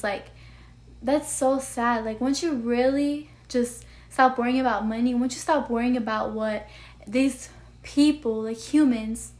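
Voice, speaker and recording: A young woman talks straight to her viewers in a soft, sweet voice that sounds almost childlike and sits a little high. She is earnest and rambling, with her thoughts spilling out between constant "like"s and "you know"s. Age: young adult. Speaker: vlogger. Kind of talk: heartfelt personal talk to camera.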